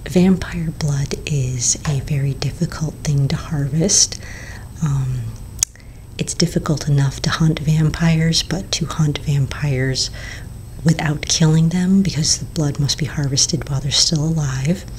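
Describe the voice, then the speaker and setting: Low whispering woman, close-miked ASMR. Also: steady tone, a little hiss or hum. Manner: soft, slow whisper with hesitant fillers. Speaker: ASMR creator playing a potion shopkeeper. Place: small indoor room before shelves of bottles. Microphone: close studio mic in front.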